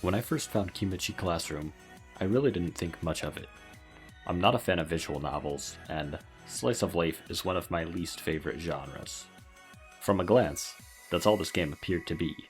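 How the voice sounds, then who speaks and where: Deep-voiced man narrating a script. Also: moderate pace. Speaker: video essay host. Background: music.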